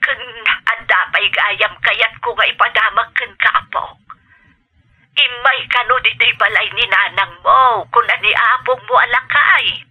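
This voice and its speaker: A woman's voice: fairly high pitch, a little slow, pushed and bright, breathy edge, full character voice. A woman acting a protective, grumbling elderly grandmother in an Ilocano radio drama, heard through a telephone filter. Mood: urgent. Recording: tinny band-limited telephone sound.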